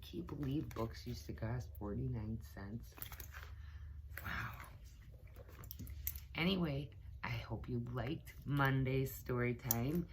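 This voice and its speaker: A middle-aged woman reading a children's picture book aloud, pausing for asides to her young listeners. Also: very deep voice, a little hiss or hum, rough recording. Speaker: children's story time reader, teaching assistant. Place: small cluttered room indoors.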